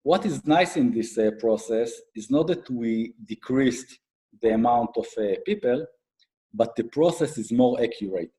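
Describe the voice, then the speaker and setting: A middle-aged man with an Israeli accent giving an explanatory business talk as a guest expert, haltingly, with frequent fillers. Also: medium pitch, lively intonation, dark tone, low-quality recording. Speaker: guest speaker, IT advisory consultant and accountant.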